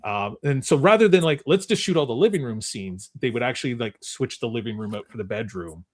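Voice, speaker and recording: Lively man hosting, very dry sound. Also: mid-range voice, fairly quick, dry recording. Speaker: host of a film trivia game podcast. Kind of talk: casual chat.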